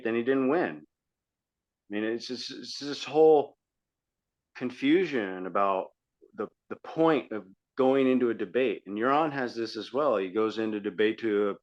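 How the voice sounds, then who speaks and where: A man's voice: fairly low pitch, unhurried. A man co-hosting a podcast, giving argumentative, critical political commentary in a conversational style. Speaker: podcast co-host. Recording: dull lo-fi audio.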